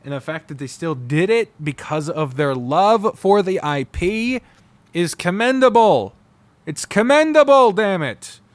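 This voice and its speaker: A man giving an unscripted, casual review, blunt, profane and opinionated, often halting, digressing and trailing off mid-sentence. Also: high voice, very animated, no echo. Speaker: visual novel reviewer.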